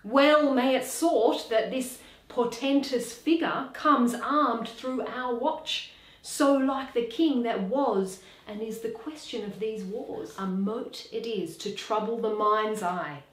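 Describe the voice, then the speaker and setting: A woman playing a grave, scholarly nobleman in dramatic, declamatory Shakespearean verse, deliberate and with hushed dips. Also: on the high side, roomy sound, rough recording. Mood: ominous. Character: grave scholarly Danish nobleman Horatio, awed yet commanding.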